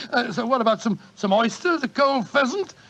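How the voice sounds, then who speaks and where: An actor playing a bumbling, gallant English gentleman with a British accent and a stammering, blustering manner, in 1940s radio style. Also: very high voice, expressive, a little slow, exaggerated voice. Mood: flattered, jovial.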